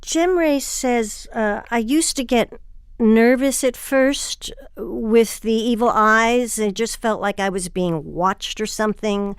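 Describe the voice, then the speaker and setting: A woman's voice: fairly high pitch, slow, smooth, no echo, clean studio sound. A woman hosting an arts interview podcast, asking questions and reading scripted lines. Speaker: host.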